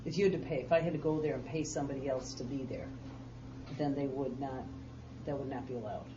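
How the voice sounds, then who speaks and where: A woman's voice: rumbling low, quick pace. A plain-spoken woman leading an informal board meeting discussion and asking the others for their views. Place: meeting room. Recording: dull, noisy, distant meeting recording.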